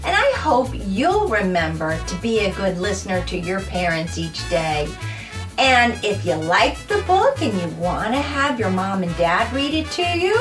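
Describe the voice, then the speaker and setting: A woman reading a children's story aloud in a sing-song, deliberate way, with short teaching asides addressed to young listeners. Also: medium pitch, big pitch swings, over music. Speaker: author reading own children's book.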